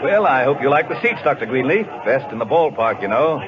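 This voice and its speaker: An actor playing a genial, proud, middle-aged ball club owner in a vintage radio drama. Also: male voice, mid-range voice, sing-song, fairly quick, lightly acted. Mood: genial, boastful. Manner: hearty, sociable. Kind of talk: 1940s-50s American radio acting. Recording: muffled old radio recording, ballpark crowd behind.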